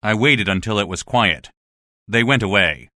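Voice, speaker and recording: A man's voice: on the low side, animated, moderate pace, sharp tone, dry recording, clean studio sound. A man playing an anxious, earnest survivor in a dramatized story, questioning and incredulous in manner. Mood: guarded, weary. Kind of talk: dramatized audiobook dialogue.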